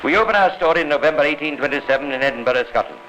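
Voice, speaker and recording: A man's voice: fairly high pitch, racing. A man narrating a crime drama for 1950s radio in a formal, rhetorical, scripted style. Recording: hissy old 1950s radio recording.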